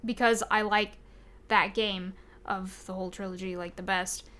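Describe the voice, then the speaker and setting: A woman's voice: mid-range voice, fairly even intonation, moderate pace, fairly bright tone. A woman hosting a podcast in casual chat, informal and opinionated, thinking aloud as she goes. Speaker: podcast host.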